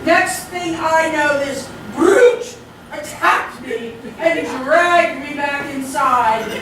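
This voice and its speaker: A woman's voice: sits high, lively intonation, takes it slowly, a bit bright, heavily acted. A woman playing a hysterical, prim and indignant society mother in a live radio-play comedy, melodramatic and wailing. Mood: indignant, distraught. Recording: echoey hall, far from mic, noisy.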